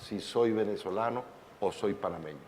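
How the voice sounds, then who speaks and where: A man's voice: fairly low pitch, moderate pace, darkish tone. A man with a Canarian Spanish accent speaking emphatically on a public panel, telling anecdotes. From Canary Islands. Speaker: panelist, novelist. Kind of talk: panel discussion. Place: large hall at a public panel. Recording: hall reverb with faint noise.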